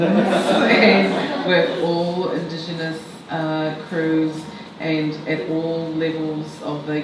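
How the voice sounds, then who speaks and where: A filmmaker of Tongan heritage speaking reflectively and loosely on a panel. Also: mid-range voice. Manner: reflective, loose, laughs while talking. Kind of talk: panel discussion answer. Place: event hall. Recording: echoey hall, distant mic, noise.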